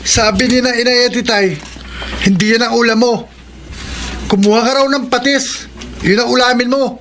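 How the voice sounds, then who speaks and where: A spiteful brat of a boy in a radio drama. The voice is extremely high and sharp, full of taunts and insults. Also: steady tone, moderate pace, a little hiss or hum. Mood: taunting. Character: bratty, spiteful boy, bullying younger sibling.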